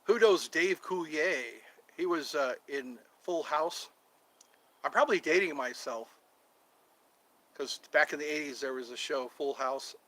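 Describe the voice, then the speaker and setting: A man's voice: fairly high pitch, a little slow, a bit bright, low-quality recording. A middle-aged man chatting casually on his vlog, rambling and joking, trying out voices and impressions. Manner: rambling, jokey, tries out voices. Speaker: vlogger.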